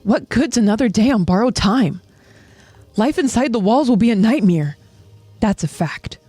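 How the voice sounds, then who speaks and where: A woman's voice: on the high side, animated, moderate pace, mellow, slightly rough, no room sound, faint music behind. Cynical young woman in inner monologue, performed by a woman, conflicted, wry, very dry sound. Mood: brooding.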